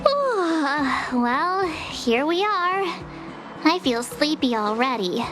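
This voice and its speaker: A woman playing a perky, jokey, outspoken teenage girl adventurer in a video game, informal and expressive. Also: sits high, slow pace, music in the background, full character voice. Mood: playful. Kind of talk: video game character dialogue.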